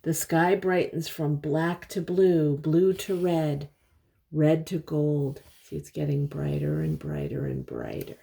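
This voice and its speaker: An older woman reading a picture book aloud to young children, pausing to explain things and ask simple questions. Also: sits low, creaky, slightly rough. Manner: expressive, simple explanations and questions for little listeners.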